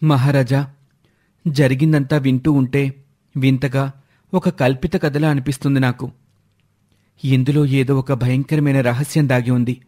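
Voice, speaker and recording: A man playing an earnest young villager who pleads urgently in an animated moral story. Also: mid-range voice, lightly acted.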